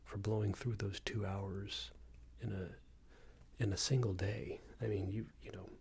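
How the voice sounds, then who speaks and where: A man playing a smug, unreasonable boss in an ASMR roleplay, scolding an employee in a soft breathy whisper with a hesitant, condescending manner. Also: level tone, unhurried, exaggerated voice. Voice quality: breathy soft whisper with low creaky murmur. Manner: hesitant, condescending, meandering reprimand.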